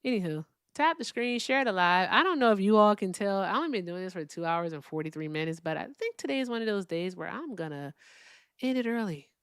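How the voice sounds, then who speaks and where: A woman's voice: mid-range voice, animated, a little fast, smooth, no room sound, studio quality. A woman hosting a live-stream debate, mocking callers in brash, casual trash talk and sounding tired.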